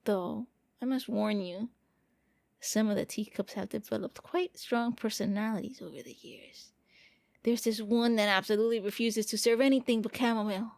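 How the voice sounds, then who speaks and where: A woman voicing a gentle, lonely young vampire prince in an anime-style ASMR roleplay, speaking softly and coaxingly with a playful, hesitant manner. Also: medium pitch, steady tone, normal speed, vocal fry, dry recording, close to an everyday voice. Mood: gentle, wry.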